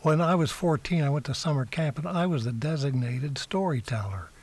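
A man with a slightly high voice co-hosts a podcast. He talks casually and jokes in a dry way. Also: fairly quick, a little mellow. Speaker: podcast co-host. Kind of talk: casual chat.

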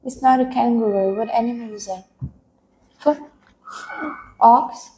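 A woman in her thirties leads a one-on-one phonics lesson, breaking each word into slow, deliberate sounds. Her voice sits a little high and moves at a very unhurried pace. The small classroom adds a noticeable echo. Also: fairly even intonation, mellow, slight background noise. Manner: patient, slow, sounding out words letter by letter. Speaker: English teacher. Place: small classroom with a world map on the wall.

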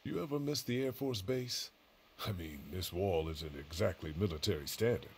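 An actor playing a calm, conscientious survivor in a zombie video game, speaking in a measured, earnest way. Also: male voice, low voice, slight background noise. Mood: steady, sincere.

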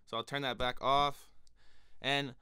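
A young man with a cold, talking casually to his viewers while reviewing a microphone in a small home studio, speaking into a studio condenser mic. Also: measured pace. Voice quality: higher-pitched voice. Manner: relaxed, chatty, addresses viewers directly. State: has a cold. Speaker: music producer reviewing a microphone. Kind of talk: casual product review vlog. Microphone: studio condenser mic on stand in front of him.

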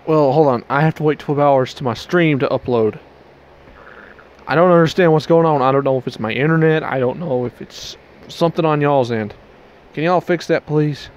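A man's voice: on the high side, normal speed, muffled recording. Young man rambling into a headset. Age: young adult. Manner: rambling, loose restarts, Southern y'all. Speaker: streamer. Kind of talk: casual stream outro to camera. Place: small bedroom at night. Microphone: headset mic.

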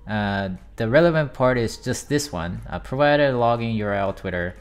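A young man from Japan talking casually as he vlogs, thinking aloud with many fillers. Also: fairly low pitch. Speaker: YouTube developer vlogger. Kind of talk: casual vlog narration. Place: home desk.

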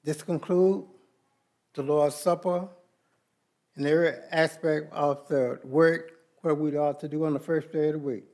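Man, slightly high voice, leads communion and prayer at a pulpit mic in a big sanctuary, measured and reverent. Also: fairly even intonation, darkish tone. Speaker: church leader at the Lord's Supper. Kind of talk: plain church service leading and prayer. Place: large church sanctuary.

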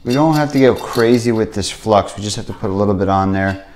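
A man's voice: fairly low pitch, brisk, a little mellow. A man in his thirties, a plumber, casually talking through a hands-on demonstration at a workbench, plain and step by step with fillers. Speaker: plumbing instructor. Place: indoor workshop bench.

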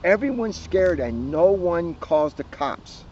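A man's voice: on the high side, lively intonation, slow, a little mellow. A man in his fifties chatting casually to camera as co-host, recorded outdoors. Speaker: police officer co-hosting a read-aloud. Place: outdoors by a lake. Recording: very noisy, windy, dull outdoor camera sound.

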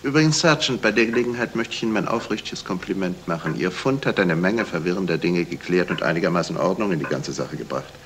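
Low male voice, courteous sleuth, measured and polite, 1970s German radio crime play, noisy vintage recording. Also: animated, a bit bright. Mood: explaining. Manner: polite, measured, hesitant little interjections. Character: courteous, shrewd male detective explaining a murder. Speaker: actor.

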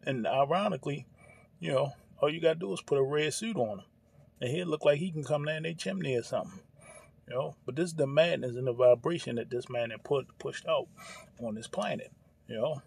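A man's voice: fairly high pitch, brisk, vocal fry, a little mellow, breathy edge. An American man giving casual, off-the-cuff religious commentary in an informal, preachy manner. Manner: informal, preachy, peppered with "you know" and "hey". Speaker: commentator reacting to a news clip.

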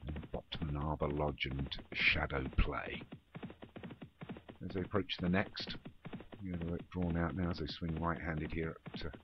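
A man giving running commentary on a horse race in an online game league, informal, with muttered asides and self-corrections. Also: a bass voice. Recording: dull, noisy home recording with clicks.

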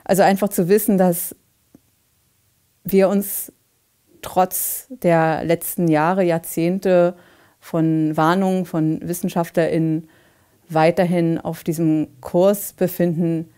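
Woman in her 40s, interview, low flat voice, mellow, lots of ums. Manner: measured, halting with fillers. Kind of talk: earnest recorded interview. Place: dim indoor set.

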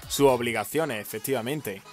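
Upbeat Spanish host, casual. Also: male voice, mid-range voice, moderate pace, faint music behind. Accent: Spain Spanish. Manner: upbeat, informal, says "tío". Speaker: host, gaming channel. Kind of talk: casual interview questions.

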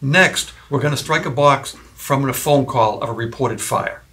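A man's voice: mid-range voice, normal speed, pushed and bright. A man in his fifties presenting a training video, explaining directly to trainees. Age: 50s. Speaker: fire lieutenant, training presenter. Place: indoor office room, facing camera. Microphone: lapel.

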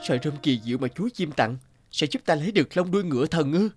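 A man's voice: a little high, expressive, quick pace, soft background music. An actor playing a devoted, polite young male violinist in a cartoon. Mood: earnest. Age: young adult. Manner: earnest, polite.

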